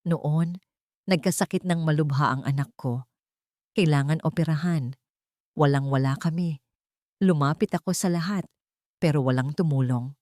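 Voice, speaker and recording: A woman's voice: sits low, moderate pace, pauses often, darkish tone, no echo, studio quality, lightly acted. A woman voicing a kindly, worried elderly aunt from the province in an audio story, earnest and concerned. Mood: grateful, solemn.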